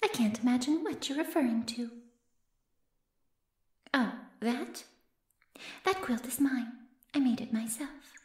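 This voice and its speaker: A woman's voice: fairly high pitch, expressive, unhurried, long pauses, reverberant. A woman voicing a sly, haughty flesh-eating female monster in an ASMR roleplay, mocking and mock-innocent as she savors her words. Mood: mock innocence. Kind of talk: ASMR roleplay audio drama.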